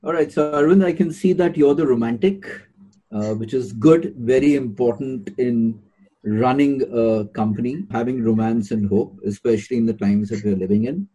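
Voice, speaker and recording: A man hosting an interview, asking measured questions and wrapping up politely. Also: medium pitch, mellow, husky, rough recording. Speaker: interview host.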